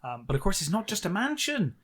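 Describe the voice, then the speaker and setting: A man's voice: sits high, wildly swooping pitch, fast, fairly bright tone, breathy edge. A man co-hosting a podcast, talking casually and jokily as he discusses a story. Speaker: podcast co-host.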